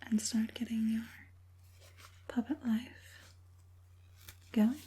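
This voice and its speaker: Woman playing a slow, whispering evil witch puppet master. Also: fairly high pitch, little pitch movement, warm tone, full character voice. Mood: calm, scheming. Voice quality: breathy whisper. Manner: slow, soothing, deliberate, menacingly sweet. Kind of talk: whispered ASMR roleplay.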